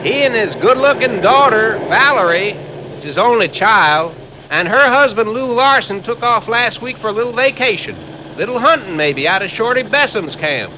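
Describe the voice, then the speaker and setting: A man's voice: high voice, animated, moderate pace. An actor playing an earnest, rambling young insurance man in a 1950s American radio drama, folksy and hesitant. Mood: folksy explaining. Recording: muffled, noisy old radio recording.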